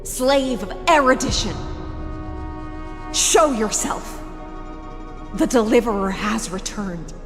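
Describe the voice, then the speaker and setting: Slow, solemn herald of judgment. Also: female voice, fairly high pitch, expressive. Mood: solemn menace. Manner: declamatory, stately. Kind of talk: video game fantasy dialogue. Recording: long hall reverb under game music.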